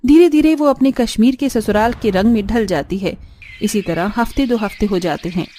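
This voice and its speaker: A woman's voice: medium pitch, moderate pace, dark tone, a little hiss or hum. A woman narrating a scripted cartoon story as the storyteller.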